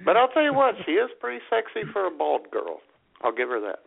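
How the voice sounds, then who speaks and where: A man's voice: sits high, wildly swooping pitch, fairly quick, gravelly. A man co-hosting a podcast, chatting casually, teasing his partner and laughing often. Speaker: podcast co-host. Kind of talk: casual chat. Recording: tinny phone-like sound.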